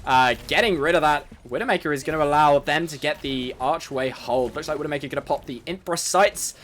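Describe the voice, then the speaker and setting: A man's voice: a little high, a little fast, a bit bright, slight background noise. An Irish man casting a live esports match in an upbeat way, laughing often. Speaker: esports caster. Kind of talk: live play-by-play commentary.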